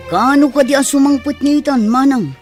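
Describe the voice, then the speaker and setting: A woman's voice: sits high, normal speed, darkish tone, faint music behind, natural voice. A woman with an Ilocano accent playing a blunt, suspicious older village relative in a radio comedy drama. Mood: insistent.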